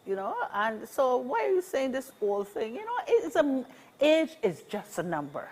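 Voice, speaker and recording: A woman's voice: fairly high pitch, big pitch swings, moderate pace, a little mellow, slightly rough. Upbeat Barbadian woman in her sixties. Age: 60s. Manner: upbeat, encouraging, repeats key phrases. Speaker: senior advocacy organization representative. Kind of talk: casual interview conversation.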